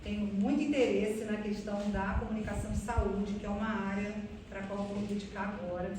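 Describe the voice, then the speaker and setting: A woman's voice: mid-range voice, steady tone, fast talker. A Brazilian woman speaking as a professor who is co-presenting research at an academic conference. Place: reverberant room, recorded from a distance. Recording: very echoey room, distant mic, clear noise.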